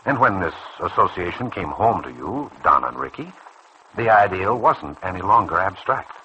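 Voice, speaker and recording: A man acting in a vintage radio soap as a calm, earnest doctor who probes and persuades in a measured way. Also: sits low, muffled recording, full character voice. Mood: probing, earnest. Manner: measured, probing, earnest delivery. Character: calm, earnest, persuasive male doctor counselling a younger colleague. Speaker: radio serial actor. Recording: noisy old radio drama recording.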